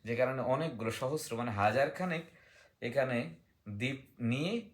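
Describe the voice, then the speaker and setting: বই নিয়ে শিক্ষকসুলভ ভঙ্গিতে কথা বলা এক পুরুষ. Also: male voice, medium pitch, moderate pace. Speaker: book discussion guest. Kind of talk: explanatory book talk, partly reading aloud.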